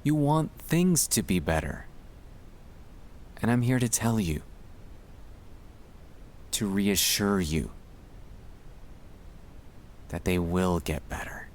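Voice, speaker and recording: Male, low voice, very slow delivery; gentle, soothing ASMR comfort read over faint low rumble. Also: expressive. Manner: gentle, soothing, hushed, reassuring. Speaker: ASMR sleep-aid narrator. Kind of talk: ASMR guided relaxation, scripted comfort monologue.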